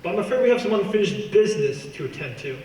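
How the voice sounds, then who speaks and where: An actor playing a polite, menacing villain professor in a radio play, with a genteel, theatrical, mock-regretful way of talking. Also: male voice, sits high, animated, quick pace, warm tone. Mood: silkily menacing. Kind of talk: stage-like radio play acting. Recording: distant, very echoey, noisy room.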